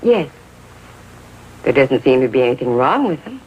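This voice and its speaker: A woman's voice: very deep voice, sing-song, normal speed, slightly rough, muffled recording, exaggerated voice. A woman playing a middle-aged American mother in a 1950s TV drama, genteel, earnest and insistently defensive. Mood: defensive, trusting. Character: defensive, trusting, genteel mother of a teenage boy.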